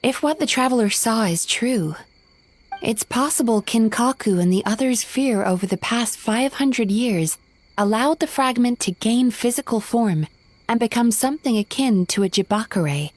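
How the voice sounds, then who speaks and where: A calm, studious young woman from a video game. Her voice is fairly high and gentle, with thoughtful, clearly reasoned phrasing. She comes across as earnest and composed. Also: moderate pace. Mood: explanatory, serious. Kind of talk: video game cutscene acting.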